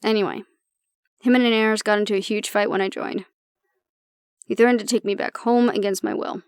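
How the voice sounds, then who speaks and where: Woman acting creaky-voiced, anxious young tough softy. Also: medium pitch, no room sound, clean studio sound. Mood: bitter, resentful. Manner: casual, confiding, sweary, pausing. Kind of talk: intimate audio roleplay addressed to the listener.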